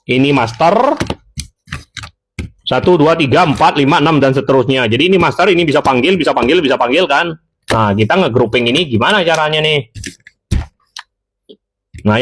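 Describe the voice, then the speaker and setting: A man giving a casual, chatty tutorial, talking straight to viewers and correcting himself as he goes. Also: a little high. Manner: chatty, addresses viewers directly, self-corrects. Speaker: seller demonstrating intercoms.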